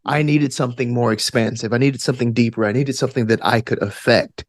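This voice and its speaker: A man from Alabama chatting casually as an interview guest, in a playful, animated way. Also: medium pitch, fast talker, no room sound, clean studio sound. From Alabama, United States. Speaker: podcast guest, actor and gamer.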